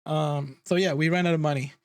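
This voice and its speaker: High-pitched man ranting, dry close sound. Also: steady tone, a little fast, no echo. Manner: exasperated, sweary, emphatic. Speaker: livestreamer reacting to news. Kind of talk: casual ranting reaction commentary.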